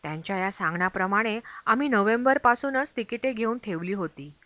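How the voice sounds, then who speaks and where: A woman's voice: mid-range voice, lively intonation, a little fast, fairly bright tone, dry recording. A woman reading a travel memoir aloud as scripted audiobook narration. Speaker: audiobook reader.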